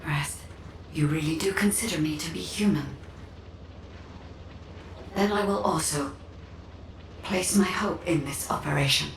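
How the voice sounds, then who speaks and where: An actress playing a calm, enigmatic non-human guide in a video game, formal, measured and detached. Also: female voice, deep voice, a little hiss or hum. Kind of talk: scripted game dialogue. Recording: echoey, distant, faint ambience.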